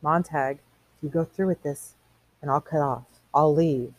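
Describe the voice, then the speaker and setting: A woman voicing an anxious old man from a novel, giving urgent, hushed, pleading advice. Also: low-pitched, steady tone, a little slow, no room sound, rough recording. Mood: hushed warning.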